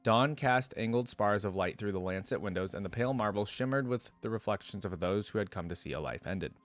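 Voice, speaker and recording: A man's voice: fairly low pitch, a little fast. A man hosting a reaction video, reading a story aloud and commenting in an informal, slangy way.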